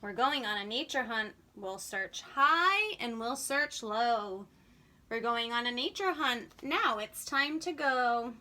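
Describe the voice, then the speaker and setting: A woman reading a picture book aloud to young children in an animated, sing-song, expressive way. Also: on the high side, slow pace.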